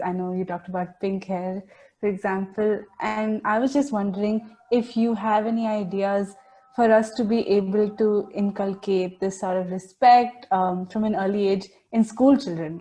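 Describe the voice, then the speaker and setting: A woman's voice: mid-range voice, warm tone, low-quality recording. A woman politely and carefully asking the panel a question over a video call. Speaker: audience questioner.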